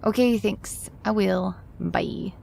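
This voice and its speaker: Harried working woman, exasperated and expressive, audio drama, medium pitch, light background noise. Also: slow pace, gravelly. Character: flustered, harried talk show woman, often late.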